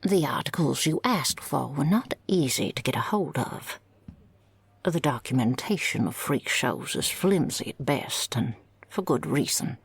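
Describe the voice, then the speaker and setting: A woman playing a kindly older female librarian in an audio roleplay, with a husky, creaky voice and gently formal, folksy manner. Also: sits low, animated, measured pace, no room sound, exaggerated voice. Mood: helpful, gentle. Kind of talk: scripted audio roleplay acting.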